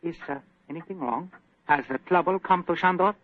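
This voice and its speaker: Man playing a shifty, hesitant foreign gentleman. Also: on the high side, fairly quick, no echo, lightly acted. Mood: evasive. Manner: hesitant, evasive, formal foreign phrasing. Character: shifty, nervously polite foreign male lodger. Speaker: radio actor playing a questioned lodger. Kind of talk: 1940s radio drama acting. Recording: dull, faintly noisy old radio recording.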